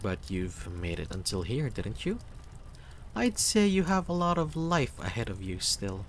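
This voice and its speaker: Man putting on a higher, cheeky young traveler. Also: big pitch swings, a little slow, a little hiss or hum. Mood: teasing, earnest. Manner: playful, teasing, lilting.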